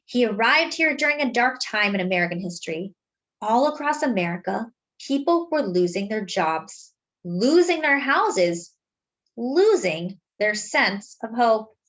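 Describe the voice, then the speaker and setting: High, lively woman reading a storybook aloud. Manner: dramatic, deliberate phrasing with drawn-out words. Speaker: children's story reader. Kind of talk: expressive picture book read-aloud.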